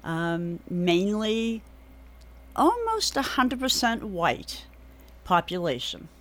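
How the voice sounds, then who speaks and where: A middle-aged woman with a New England accent, a guest on a radio interview, speaking in a measured way with frequent ums. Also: medium pitch, big pitch swings. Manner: measured, hesitant with many ums. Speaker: political candidate, radio guest. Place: radio studio.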